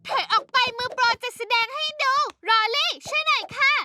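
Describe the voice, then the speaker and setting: A woman's voice: very high-pitched, a little fast, heavily acted. A woman voicing a cocky, showy little girl in a Thai cartoon dub. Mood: cocky, haughty. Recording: loud noise behind, clicks.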